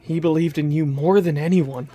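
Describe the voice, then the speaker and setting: A young man acts out a grieving warrior in an audio drama. He speaks steadily and quietly, reassuring the one beside him. His voice sits a little higher than most men's. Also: fairly even intonation, moderate pace, lightly acted. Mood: somber.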